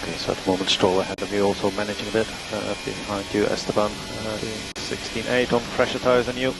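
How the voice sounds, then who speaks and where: A man working as a race engineer, giving measured, matter-of-fact instructions over team radio and repeating the key ones. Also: fairly low pitch, flat delivery, gravelly. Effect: team radio transmission. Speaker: Formula One race engineer. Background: vehicle and engine noise.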